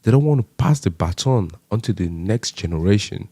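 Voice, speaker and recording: Young man from Cameroon, vlogger; fervent rhetorical commentary, warm low voice. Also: lively intonation, a little fast, no room sound. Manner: impassioned, rhetorical questions, rising pitch when stressed. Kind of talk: commentary monologue.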